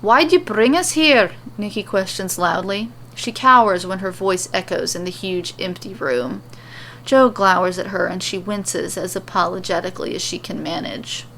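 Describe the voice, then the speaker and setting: A woman's voice: fairly low pitch, steady tone, normal speed, pushed and bright. Woman narrating a story over noticeable noise. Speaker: narrator and host. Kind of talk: narrated serial fan-fiction storytelling. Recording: clearly noisy recording.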